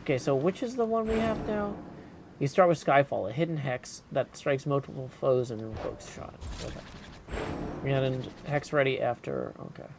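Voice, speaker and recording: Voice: medium pitch, normal speed. A game streamer giving casual livestream commentary, muttering through choices and reading game text aloud, with noise behind the voice. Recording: clear background noise.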